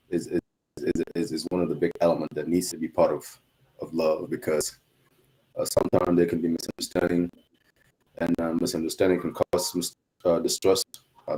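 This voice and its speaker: A man's voice: low-pitched, a little mellow, low-quality recording. A man answering on a panel over a video call, hesitant, with many "uh"s and repeated words.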